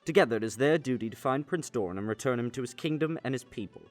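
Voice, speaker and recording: A man's voice: mid-range voice, animated, fast, natural voice. A young man playing the dramatic narrator of a fantasy radio serial. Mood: suspenseful. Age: young adult. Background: music.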